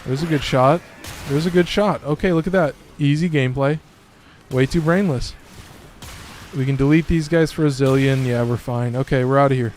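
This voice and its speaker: A man with a slightly hoarse, raspy voice, giving loose and profane casual commentary while streaming a game. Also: medium pitch, moderate pace, a little mellow, a little hiss or hum. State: a little hoarse. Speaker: gaming streamer.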